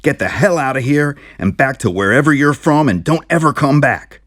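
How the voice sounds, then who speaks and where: A man voicing a gruff, bullheaded American small-town sheriff in an audiobook, blustering and yelling. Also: medium pitch, animated, normal speed, no room sound, clean studio sound, voice pushed up, natural voice. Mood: furious. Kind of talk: audiobook character voice.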